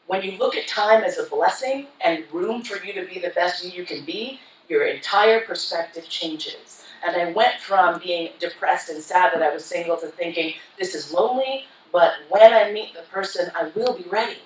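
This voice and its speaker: A woman's voice: fairly low pitch, normal speed, few pauses, a little hiss or hum. A woman giving a keynote talk to a live audience, telling her story in a frank, profane and comic way. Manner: frank, profane and comic, acting out her own past lines. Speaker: keynote speaker. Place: conference hall. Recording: roomy hall, voice from far off.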